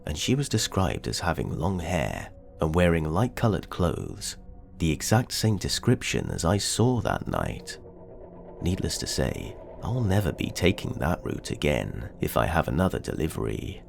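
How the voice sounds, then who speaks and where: A man's voice: a bass voice, huge pitch swings, normal speed, creaky, faint music behind. A man narrating a first-person scary story in a dramatic, scripted way.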